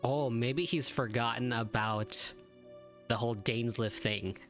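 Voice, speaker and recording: A man's voice: mid-range voice, measured pace, fairly bright tone, soft background music. A man giving casual, live reaction commentary while playing a video game. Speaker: gamer reacting to a game story.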